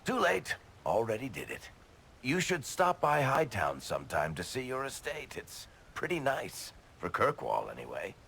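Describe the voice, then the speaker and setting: An actor playing a middle-aged roguish dwarf in a video game, wry and offhand with a gravelly voice. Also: male voice, mid-range voice, wildly swooping pitch, normal speed, pushed and bright, a little hiss or hum, heavily acted. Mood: wry, pleased. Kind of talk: video game dialogue acting.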